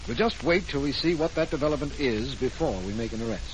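An actor playing a formal, measured district attorney in 1940s radio drama style. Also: male voice, mid-range voice, expressive, lightly acted. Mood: cautious, firm. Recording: noisy old 1940s radio recording.

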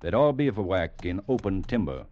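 An American actor playing a stern, exacting older cavalry captain in a radio drama, speaking in a measured, formal, lecturing way. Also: male voice, low voice, very animated, warm tone. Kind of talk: 1940s-50s radio acting. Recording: dull, hissy old radio recording.

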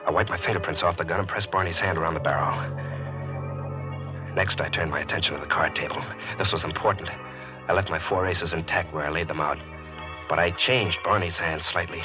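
Man playing a low-voiced, cold scheming killer. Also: steady tone, fairly quick, natural voice. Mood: cold, methodical. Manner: calculated, confessional first-person telling. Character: cold, scheming gambler narrating his own murder. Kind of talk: 1940s radio mystery acting. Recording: vintage radio broadcast with music.

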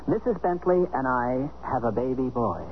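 An actor playing a bewildered, wry but soft-hearted American husband in a 1970s radio drama. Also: male voice, mid-range voice, lively intonation, normal speed, close to an everyday voice. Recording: muffled, hissy 1970s radio drama recording.

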